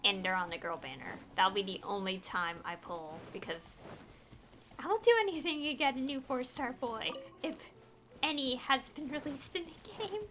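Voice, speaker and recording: A woman streamer with a high, very animated voice rambles casually to viewers. She sounds opinionated and exasperated, leaning on words with pleading emphasis. There is faint noise behind her. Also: normal speed. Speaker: game streamer. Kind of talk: casual rambling livestream chat.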